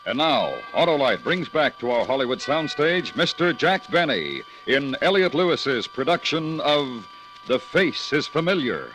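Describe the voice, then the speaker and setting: A man's voice: mid-range voice, big pitch swings, unhurried, low-quality recording. A man with an American accent announcing a 1950s radio show in a dramatic scripted style. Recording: old radio recording under loud music.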